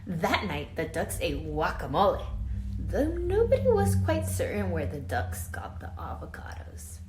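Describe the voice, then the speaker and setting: A woman reading a children's picture book aloud expressively, with dramatic emphasis and hushed asides, pausing to question young listeners like a teacher. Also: on the low side, very animated. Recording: roomy echo with faint background noise.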